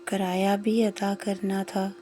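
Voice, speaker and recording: A woman narrating a scripted moral story in short, measured phrases with pauses. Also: mid-range voice, little pitch movement, dark tone, a little hiss or hum.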